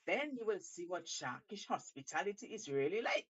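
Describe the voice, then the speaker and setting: A woman's voice: lowish voice, expressive, moderate pace, husky, highs cut off. A woman voicing an eager, persuasive male shark in a storybook tale, coaxing and emphatic.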